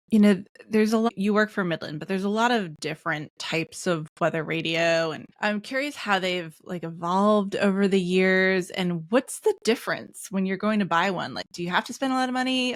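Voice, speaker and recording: A woman's voice: fairly low pitch, no room sound, studio quality. A woman hosting a podcast interview, asking questions in a casual, informal and inquisitive way. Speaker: podcast host.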